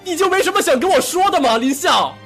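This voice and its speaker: Guy voicing angry hurt little brother in an audio drama, raw and sweary, really animated pitch, faint music behind. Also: quick pace, heavily acted. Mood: furious, hurt. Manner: confrontational, swearing, emotionally raw. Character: hot-tempered, wounded younger brother, foul-mouthed young man. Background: background music.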